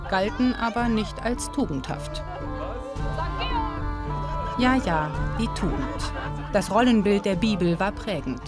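A woman narrating a history documentary in a calm, informative and lightly playful manner, and also reporting on camera. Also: mid-range voice. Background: music.

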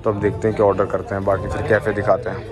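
A man's voice: lowish voice, level tone, racing. A young man casually narrating a vlog in informal, slangy speech at a cafe. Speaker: vlogger. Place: cafe with terrace. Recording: loud music over the voice. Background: loud cafe music.

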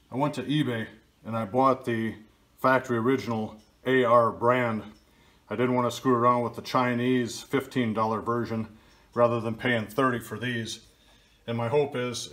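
An older man walks through a pump repair step by step, in a plain, practical tone. His voice sits at an ordinary middle pitch, and he talks casually into a camera mic in a workshop. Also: fairly bright tone. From Michigan, US. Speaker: DIY video host. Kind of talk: casual how-to narration. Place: workshop, table of pump parts.

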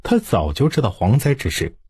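Husky male storyteller, lively pitch. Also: moderate pace, dry recording. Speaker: audiobook narrator. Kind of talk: dramatic scripted narration of historical fiction. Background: music.

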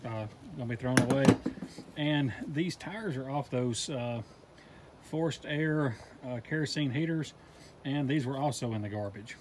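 A man's voice: mid-range voice, measured pace. Casting: man with a mid-range voice, matter-of-fact workshop host walking through a build, faint outdoor hiss behind. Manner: plain, practical step-by-step explaining with fillers. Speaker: DIY welding channel host.

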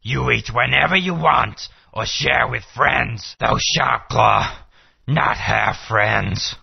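A man's voice: mid-range voice, takes it slowly, vocal fry, slightly rough, heavily acted. A man voicing a dim, pleading dinosaur henchman in a video game, speaking in broken, simplified grammar. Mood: slow, explaining. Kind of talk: video game character acting. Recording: dull lo-fi game audio.

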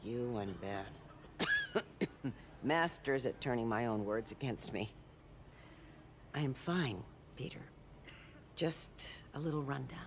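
A kindly older American aunt in a game cutscene. Her voice is low and moves expressively, affectionate with a gentle tease. There is steady room noise around her. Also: slow, long pauses, exaggerated voice. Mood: tired, reassuring. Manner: affectionate, reassuring, gently teasing. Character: kindly older aunt, warm, proud, tired. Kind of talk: video game cutscene acting. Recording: noisy room ambience.

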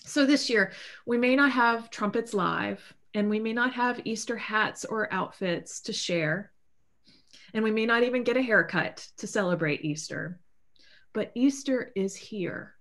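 A woman's voice: fairly high pitch, unhurried, fairly bright tone. A woman in her thirties, a priest, preaching a sermon from home over a video call in a casual, earnest way, with lots of fillers and restarts. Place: home room with bookshelves. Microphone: video call webcam.

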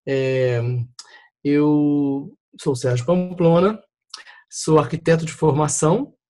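Brazilian guy, 50s, bit higher voice, chill and friendly on a video call. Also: takes it slowly. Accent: Brazilian Portuguese. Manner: relaxed, friendly greetings with fillers. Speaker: architect and panelist. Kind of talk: casual self-introduction on a web seminar.

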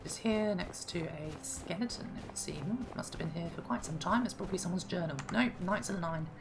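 A woman hosting a casual let's-play, chatting and thinking aloud, her voice slightly hoarse. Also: lowish voice, brisk. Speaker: gaming channel host. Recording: clear game music behind.

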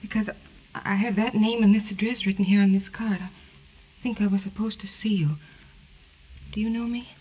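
A woman's voice: medium pitch, steady tone, moderate pace, pauses often, darkish tone, slightly rough, close to an everyday voice, poor audio. A woman playing a frightened, exhausted amnesiac in 1940s radio drama style, hesitant, anxious and pleading. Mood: timid, uneasy. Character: frightened, exhausted woman who has lost her memory. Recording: vintage 1940s radio recording.

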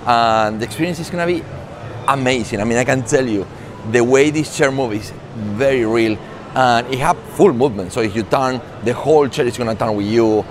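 Guy in his 40s doing casual tech vlog review, into it, mid pitch, loud-ish expo hall noise behind him. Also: a little fast. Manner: enthusiastic, conversational. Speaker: tech vlogger and presenter. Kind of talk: casual product review to camera. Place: large indoor trade show hall. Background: expo hall ambience.

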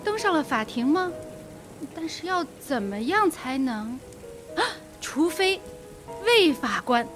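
A woman's voice: sits high, very animated, takes it slowly, faint music behind, full character voice. An actress playing a frightened young woman secretary in a radio drama. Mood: uneasy, subdued.

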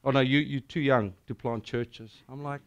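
A middle-aged man with a South African accent preaching a sermon, animated and declamatory as he tells a battle story and recites verse. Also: medium pitch, moderate pace, clean tone, lo-fi sound. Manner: animated and declamatory, gesturing widely.

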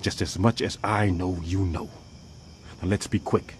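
An actor playing a tough but anxious industrial firefighter in a video game, urgent and plain-spoken. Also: male voice, low-pitched, steady tone, a little slow. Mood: hushed, urgent. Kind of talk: video game cutscene acting. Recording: loud background roar and ambient noise.

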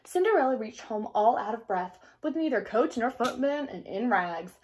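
A young woman reading a children's story aloud in an expressive, theatrical manner. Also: a little high, normal speed, slight background noise. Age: young adult. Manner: theatrical, explanatory asides. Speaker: storybook reader. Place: small indoor room at home.

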